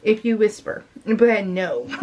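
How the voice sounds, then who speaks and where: A middle-aged woman talks casually, as a craft vlogger does to her regular viewers. Her voice sits at an average pitch. She rambles along in a confiding way and leans hard on the words she cares about. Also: big pitch swings, slow, darkish tone, slight background noise. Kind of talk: casual chat.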